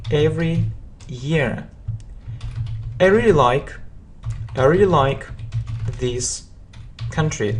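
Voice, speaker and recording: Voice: mid-range voice, slight background noise. A teacher giving a language lesson who repeats each example phrase and often breaks it into separate words for the learner to follow. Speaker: English teacher. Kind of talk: slow drilling language lesson.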